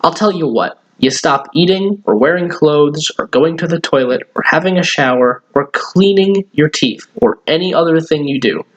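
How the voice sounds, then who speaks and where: A man's voice: a little high, lightly acted, low-quality recording. Man reading as firm, exasperated mom. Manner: scolding, matter-of-fact. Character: firm, sensible, exasperated mother of a young boy.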